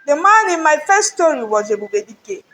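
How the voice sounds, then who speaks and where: A woman's voice: sits high, big pitch swings, moderate pace, a bit bright. A woman voicing a mighty, defiant male folktale hero who speaks solemnly and with authority. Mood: solemn, authoritative.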